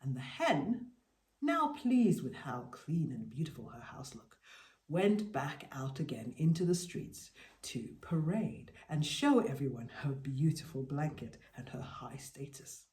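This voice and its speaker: Female folktale narrator, lower register, very lively intonation, dramatic phrasing, roomy recording. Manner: dramatic, deliberate phrasing with emphatic pauses. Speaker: storyteller, podcast host. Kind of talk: expressive oral folktale narration.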